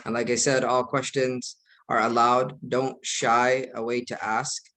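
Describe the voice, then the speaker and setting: Young male imam, earnest, steady monotone delivery. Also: medium pitch, unhurried. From United States. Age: young adult. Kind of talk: online presentation.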